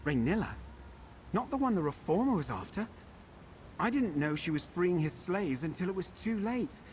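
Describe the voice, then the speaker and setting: An actor playing a fussy, pampered defector in a video game, wry and chatty with a faintly aggrieved manner. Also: male voice, sits high, big pitch swings, moderate pace, a little mellow, slight background noise. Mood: subdued, grateful. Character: fussy pampered defector from a wizard house, put-upon. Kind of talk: video game character dialogue.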